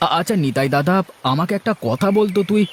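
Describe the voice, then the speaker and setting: A man voicing a good-natured but anxious village fisherman in a moral-story cartoon, animated and homely, full of exclamations. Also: high voice, fast talker, a little hiss or hum. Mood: troubled. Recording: music behind the voice.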